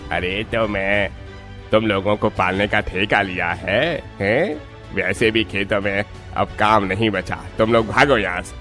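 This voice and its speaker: A man acts out a heartless village boss from a cartoon story. He is loud, scolding and threatening, with faint music underneath. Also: lowish voice, fairly even intonation, a little slow. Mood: scornful. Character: angry, heartless village landlord or boss demanding and dismissing. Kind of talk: Hindi cartoon story dub.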